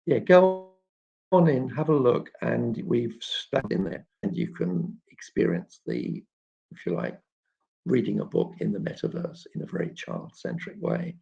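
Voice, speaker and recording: A man with a very creaky, gravelly voice talks informally to a live online audience. His delivery is halting and explanatory, yet his pitch moves up and down in a lively way. The sound is slightly dull and muffled, like a lo-fi stream. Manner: halting, explanatory, frequent throat clearing. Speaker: children's author and illustrator hosting a live chat. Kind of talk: informal live question-and-answer talk.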